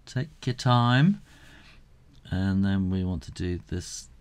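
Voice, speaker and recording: Middle aged guy doing a relaxed how-to-draw tutorial, deep voice, really slow, thinks out loud. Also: smooth. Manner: unhurried, thinking aloud. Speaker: drawing tutor. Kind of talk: casual step-by-step drawing tutorial.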